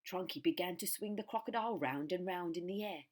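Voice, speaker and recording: Slightly husky, low-voiced woman reading a story. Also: a little fast, darkish tone. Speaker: primary school story reader. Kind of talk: children's story read aloud.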